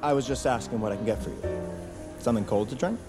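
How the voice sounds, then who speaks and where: A man's voice: mid-range voice, fast, close to an everyday voice. An actor playing a friendly, coaxing male server in an audio drama, chatty and teasing. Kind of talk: naturalistic audio drama acting. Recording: music behind.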